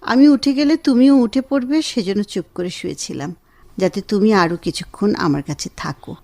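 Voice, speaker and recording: A woman's voice: medium pitch, very animated, a little fast, vocal fry, warm tone, no echo. A woman acting a lonely, ailing wife in an audio drama. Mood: tender, questioning. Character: lonely, ailing, neglected wife, quietly despairing. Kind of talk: Bengali radio drama acting with monologue. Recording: guitar music behind the voice.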